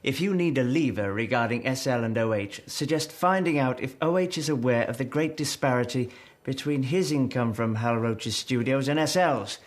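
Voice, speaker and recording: Proud young screen comedian played by a man, medium pitch, blunt, easily affronted, intense period drama delivery. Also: moderate pace, close to an everyday voice. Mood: suspicious. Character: proud, prickly young male comedy star and filmmaker. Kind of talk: radio-play style period drama acting.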